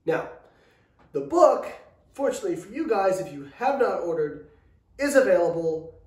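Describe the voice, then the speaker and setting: A man's voice: high voice, sing-song, normal speed, a little mellow. A man in his thirties co-hosting a casual chat on a living room sofa, sarcastic and swearing as he rants. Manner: profane, ranting, sarcastic. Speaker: co-host, coaching business owner. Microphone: far camera. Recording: echoey room from far off.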